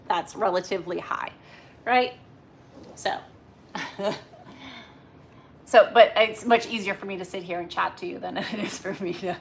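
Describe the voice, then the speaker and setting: A woman's voice: medium pitch, a bit bright. A woman hosting a casual livestream, chatty and rambling as she talks with her viewers. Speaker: livestream host. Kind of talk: casual live chat with viewers.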